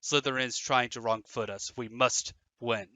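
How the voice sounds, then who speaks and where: A man's voice: mid-range voice, fairly even intonation, moderate pace, sharp tone, no echo, lightly acted. A man playing an intense, driven teenage boy sports captain in an audio drama, urgent and emphatic. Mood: livid, urgent.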